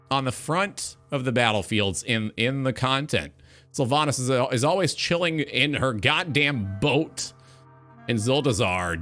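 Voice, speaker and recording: A man's voice: mid-range voice, bright, forceful tone. A man hosting a gaming talk show, delivering an opinionated monologue in an emphatic, blunt, sweary way.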